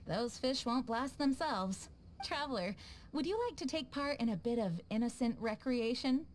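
A woman's voice: a little high, animated, normal speed, slight background noise, close to an everyday voice. A woman voicing a gracious, composed young female knight in a video game, polite and formal. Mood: wistful, gracious.

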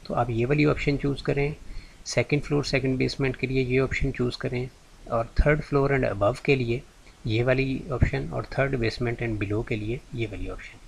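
A man's voice: medium pitch, creaky, a little hiss or hum, poor audio. A man giving a patient, step-by-step screen-recorded tutorial as a channel host. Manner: patient, step-by-step, repeats "theek hai ji".

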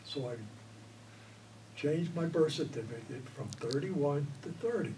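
Older male veteran interviewee, rambling storyteller, mic set back with steady background noise. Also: fairly high pitch, unhurried. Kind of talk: oral history recollection. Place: indoor studio before green backdrop. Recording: distant mic, audible room noise.

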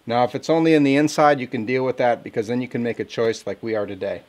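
A man talks outdoors beside his sawmill. His delivery is plainspoken and folksy as he explains his work to the camera at an ordinary, middle pitch. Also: fairly even intonation, quick pace, a little mellow. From Southern Indiana. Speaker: sawmill owner.

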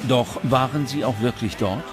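A man reading scripted documentary narration with dramatic, measured phrasing. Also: medium pitch. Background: background music.

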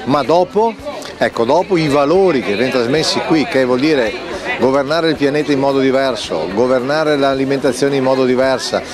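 A man's voice: mid-range voice, moderate pace. Older man, official, emphatic and formal, crowd chatter behind. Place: outdoor expo. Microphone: handheld mic.

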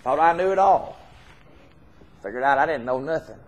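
A man's voice: on the high side, expressive, normal speed. A middle-aged man with a Southern US accent preaching an informal, folksy, earnest sermon, repeating phrases for emphasis. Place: church hall stage, indoors.

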